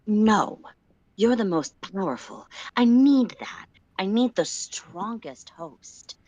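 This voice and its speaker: Woman voicing a slow, sneering evil living crown. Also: mid-range voice, expressive, dark tone, no echo. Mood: demanding, hungry. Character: sneering, power-hungry living crown, invading a dreamer's mind.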